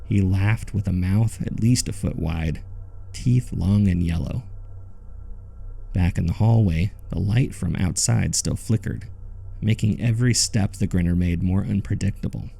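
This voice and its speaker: A man playing a haunted survivor, narrating a horror audio drama in the first person with somber, measured suspense. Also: low-pitched, gravelly, dark tone, slightly rough, heavily acted. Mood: somber, fearful.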